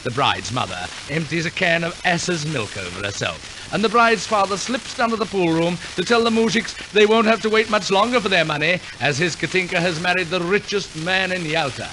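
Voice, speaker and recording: A man's voice: sits high, animated, brisk, few pauses. A man narrating a 1940s radio operetta in a theatrical, wry, tongue-in-cheek storytelling manner. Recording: noisy 1940s radio broadcast recording.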